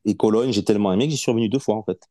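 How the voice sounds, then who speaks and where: A man in his late forties with a low, mellow voice talks as a podcast guest. He is relaxed and unhurried, often hesitating and restarting his sentences. The sound is dry and close. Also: no room sound. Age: 40s. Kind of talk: casual interview chat.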